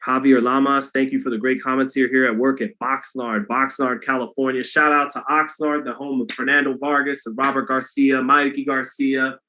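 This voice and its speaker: Male boxing host on a livestream, mid-pitched, monotone, rambling and casual with the chat. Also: moderate pace, hardly any pauses, low-quality recording. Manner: monotone delivery, self-described; loose and rambling, many restarts.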